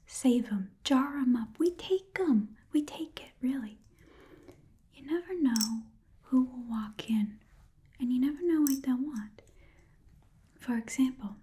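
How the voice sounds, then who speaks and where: A breathy, whispering goblin pawnbroker, played by a woman in an ASMR role-play. The voice sits fairly high with a soft, mellow tone. The talk is cheerful, shady and chatty, rambling along as a casual hard sell. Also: lightly acted.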